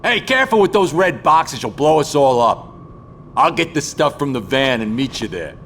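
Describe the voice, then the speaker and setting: An actor playing a rough, excitable criminal henchman in a game, coarse and barking. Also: a little high, moderate pace, heavily acted. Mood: bossy. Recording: noisy game scene with clattering effects.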